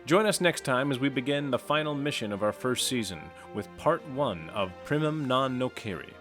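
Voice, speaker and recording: A man reading a scripted podcast outro as the show's announcer. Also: mid-range voice.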